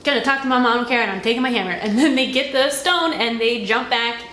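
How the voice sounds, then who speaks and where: Higher-voiced woman in an echoey room. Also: quick pace, fairly bright tone. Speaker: movie reviewer, co-host. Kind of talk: casual unscripted film discussion. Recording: echoey room, noisy background.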